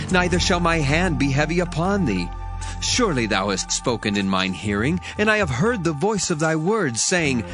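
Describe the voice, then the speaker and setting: Male actor as indignant young man, biblical drama, lively intonation, emphatic declamation, archaic formal speech, faint music behind. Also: mid-range voice, lightly acted. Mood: solemn appeal. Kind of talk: dramatized scripture reading, declamatory.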